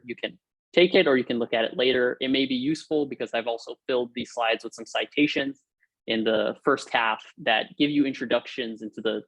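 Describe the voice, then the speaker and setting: A man's voice: medium pitch, a bit bright, dry recording, low-quality recording. A young man from Hawaii giving an upbeat, informal technical talk over a video call, with frequent fillers and restarts. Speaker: guest lecturer. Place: room at home or office.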